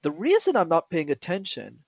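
A young man from Canada, a guest on a podcast, explaining public speaking in a lively, joking way. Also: a little high, moderate pace, dry recording, clean studio sound. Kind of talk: casual podcast interview.

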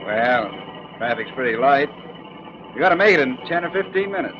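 An actor playing a confident, combative military test pilot captain in 1940s radio drama, breezy and plain-spoken. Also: male voice, medium pitch, expressive, normal speed, natural voice. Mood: breezy, assured. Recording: dull vintage radio recording, vehicle noise.

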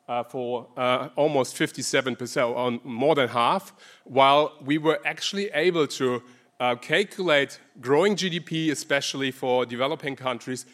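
A man with a German accent giving a conference talk on energy research, pausing often with ums and restarting phrases. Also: mid-range voice, a bit bright. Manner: hesitant, many ums and restarts.

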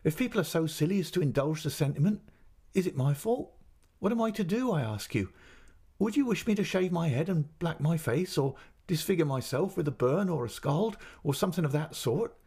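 A man's voice: on the high side, a little fast, few pauses, dark tone, voice pushed up, natural voice. A man voicing a petulant, self-pitying young mother in a storybook reading, with plaintive, dramatic protests. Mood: tearful indignation.

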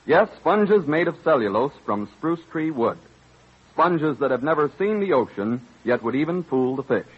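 Vintage male ad announcer: highish, animated, slightly rough husky voice, emphatic selling, muffled old broadcast sound. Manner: emphatic, polished salesmanship. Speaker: radio announcer, sponsor spokesman. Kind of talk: scripted 1930s-40s radio commercial. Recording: dull old radio broadcast recording.

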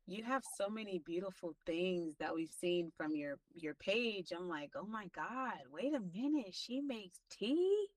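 Excitable woman podcast co-host. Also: unhurried, hardly any pauses, dry recording, far from the mic, low-quality recording. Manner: enthusiastic, acts out quotes with big pitch jumps. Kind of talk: casual chat.

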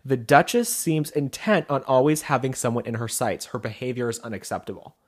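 A man's voice: mid-range voice, fairly quick. A man co-hosting a podcast from the Pacific Northwest, chatting animatedly about celebrity gossip with lots of "like" fillers. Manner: animated, many "like" fillers, reads excerpts aloud. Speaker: podcast co-host. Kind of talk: casual celebrity gossip chat.

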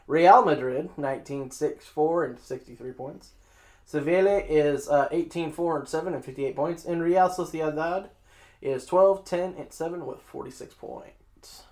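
A man's voice: a little high, unhurried, darkish tone. Man joking through sports standings. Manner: joking, self-mocking, reading scores. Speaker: sports show host. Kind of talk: casual standings rundown.

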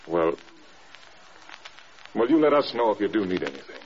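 A man's voice: on the low side, very animated, darkish tone. An American man acting a stern, burdened air force general in a mid-century radio drama, with reserved military speech. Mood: awkward, restrained concern. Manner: halting, reserved, clipped military talk. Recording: dull old radio recording with noise.

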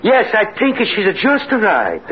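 An actor playing a jealous, lovesick Spanish barber in an old radio comedy, mock-tragic and given to drawn-out declarations. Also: male voice, high-pitched, wildly swooping pitch, heavily acted. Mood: seething jealousy. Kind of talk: 1950s radio comedy acting. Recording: muffled noisy radio recording, loud studio audience.

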